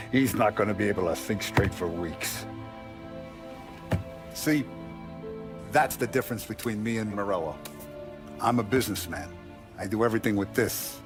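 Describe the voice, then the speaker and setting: An actor playing a calm, authoritative mafia boss who lays down rules in a measured, lecturing way, in a game cutscene. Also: male voice, fairly low pitch, lightly acted. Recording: faint music behind.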